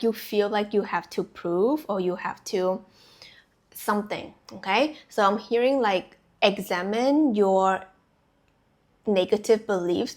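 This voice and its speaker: A young adult woman from Vietnam talks to her viewers as a spiritual coach, her pitch sitting in a middle range. She rambles casually, circling back with fillers and restarts, and laughs easily between thoughts. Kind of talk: casual intuitive reading to camera.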